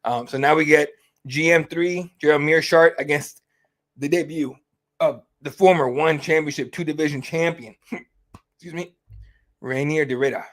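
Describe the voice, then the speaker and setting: A man's voice: fairly high pitch, steady tone, moderate pace. A young man hosting a fight-night livestream, chatting casually with lots of fillers and swearing. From Mexican heritage. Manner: rambling, profane, easygoing, many fillers. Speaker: host of a fight companion stream.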